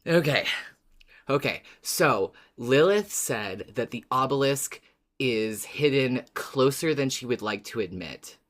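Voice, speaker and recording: A woman's voice: rumbling low, expressive, slow. A woman co-hosting a casual fan podcast, talking in an effusive, emphatic, sweary way. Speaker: podcast co-host.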